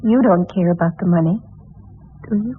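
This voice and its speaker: An actress playing a desperate, insecure young heiress bride in a radio melodrama, pleading and repeating herself. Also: female voice, fairly low pitch, moderate pace, a little hiss or hum, close to an everyday voice. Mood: insistent, pleading. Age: young adult. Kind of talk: old-time radio melodrama acting. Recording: thin narrowband vintage radio recording.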